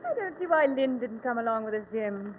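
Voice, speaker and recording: An actress as a high-voiced young bride, old radio drama. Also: female voice, fairly quick, close to an everyday voice. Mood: dreamy, uneasy. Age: young adult. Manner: dramatic, expressive. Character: young bride on honeymoon, uneasy, affectionate, pleading. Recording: old lo-fi radio, hiss, long reverb.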